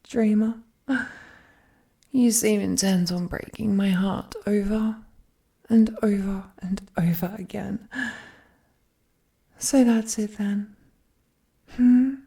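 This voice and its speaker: A woman's voice: mid-range voice, mellow, husky. A woman playing an ancient, immensely powerful female villain, possessive, wounded and regal, in a brooding, theatrical romantic audio roleplay with whispered phrases. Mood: heartbroken. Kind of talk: dramatic romantic audio roleplay, addressed to the listener.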